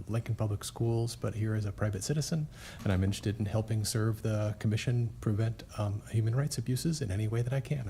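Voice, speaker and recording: A man's voice: lowish voice, mellow, a little hiss or hum. A man giving a brief, polite statement at a council podium microphone. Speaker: commission applicant. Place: council chamber.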